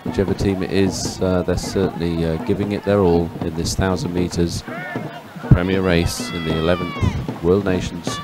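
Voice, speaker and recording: Male live sports commentator, lowish register, unscripted and a bit halting, prominent music under the voice. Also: level tone. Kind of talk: live race commentary and chat. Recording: music behind the voice.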